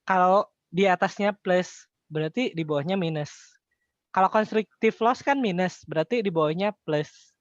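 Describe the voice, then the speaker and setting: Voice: mid-range voice, moderate pace, dry recording. An Indonesian-accented tutor talks in a casual, colloquial way, walking through material step by step. Rhetorical questions break up the explanation. The sound is dry and slightly dull, with very little room in it. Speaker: accounting tutor.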